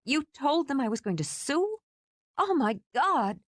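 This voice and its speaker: A woman narrator voicing an indignant, tightly wound young woman who argues sharply and flares into shouting. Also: a little high, big pitch swings, moderate pace, no room sound, clean studio sound, voice pushed up. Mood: furious.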